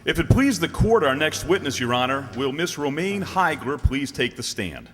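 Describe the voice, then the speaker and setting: A man playing a formal, probing courtroom prosecutor in a radio drama, putting deliberate questions. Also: fairly high pitch, very animated, fairly bright tone. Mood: formal, pressing. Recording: long reverb of a hall.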